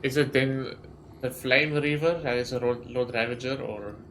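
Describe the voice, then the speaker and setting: A man's voice: medium pitch, steady tone, moderate pace, fairly bright tone, slight background noise. A man giving casual gameplay reaction commentary as a streamer, thinking aloud in questions and guesses.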